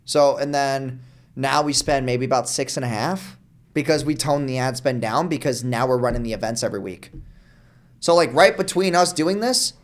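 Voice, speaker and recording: Fast-talking casual young man. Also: mid-range voice. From New York. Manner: fast, casual, with frequent "dude" and "like". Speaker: guest, marketer. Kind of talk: casual podcast conversation.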